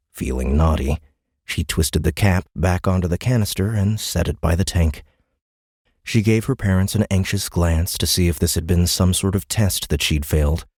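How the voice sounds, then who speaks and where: A man's voice: low voice, darkish tone, dry recording, clean studio sound. A man narrating an audiobook, reading scripted third-person story prose.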